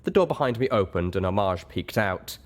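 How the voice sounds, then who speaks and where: A man's voice: lowish voice, animated, a little fast. A man narrating a first-person story expressively, as an audiobook reader.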